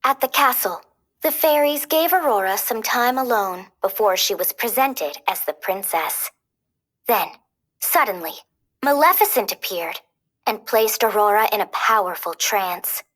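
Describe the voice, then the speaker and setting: A woman reading a children's story aloud as narrator, in an expressive, dramatic way. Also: fairly high pitch.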